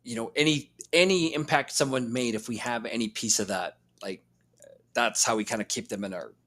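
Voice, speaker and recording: A man's voice: medium pitch, normal speed, a bit bright. A man from Hawaii co-hosting a podcast, talking in a reflective, meandering way with lots of fillers. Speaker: podcast co-host. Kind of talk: casual chat.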